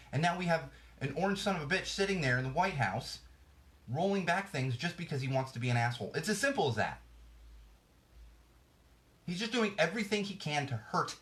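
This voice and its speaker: A man's voice: on the high side, animated, brisk, fairly bright tone. A man hosting a podcast, ranting bluntly about current events, his voice slightly worn as he loses it. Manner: blunt, ranting, frequent restarts. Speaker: podcast host. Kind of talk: ranting political commentary.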